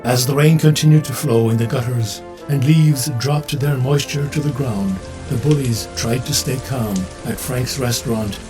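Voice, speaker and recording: All-knowing, slightly bumbling comedy narrator played by a man, medium pitch, background music. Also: natural voice. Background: music and rain.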